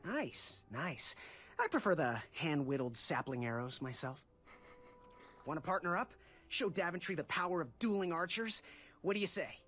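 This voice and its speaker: An actor playing a friendly, eager young would-be knight in a fantasy game, polite and a little awkward. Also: male voice, fairly high pitch, lively intonation, moderate pace, a bit bright, soft background music. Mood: cheerful, curious. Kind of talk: animated video game acting.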